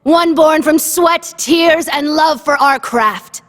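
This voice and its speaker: Game role: poised troupe leader, high voice, slow ceremonious delivery, hall reverb. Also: female voice, fairly bright tone, slight background noise. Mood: poised, proud. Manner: measured, ceremonious. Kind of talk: formal stage greeting.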